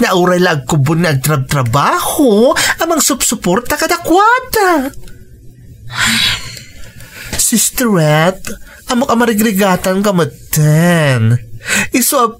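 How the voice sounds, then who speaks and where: Concerned female friend, Ilocano accent, frank and admonishing, animated swooping pitch, radio drama. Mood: pleading. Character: concerned, frank female friend working abroad, scolding and pleading.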